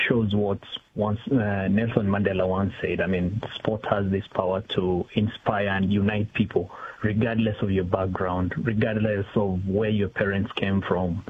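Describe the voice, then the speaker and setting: Low-voiced man on a tinny phone line. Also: slight background noise. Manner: halting, repeating words as he thinks. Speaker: interview guest, community executive officer. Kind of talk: spontaneous interview talk.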